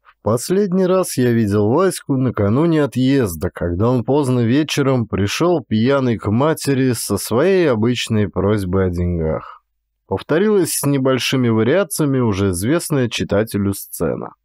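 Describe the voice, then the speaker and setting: A man reading a literary story as an actor, in expressive scripted narration. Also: medium pitch, normal speed, dry recording, studio quality.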